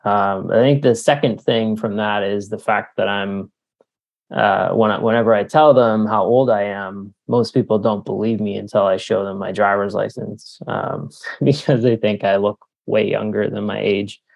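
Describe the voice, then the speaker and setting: A man's voice: fairly low pitch, steady tone, normal speed, gravelly, highs cut off. A man from Canada talking casually as an interviewed author, explaining things in a hesitant, wordy way.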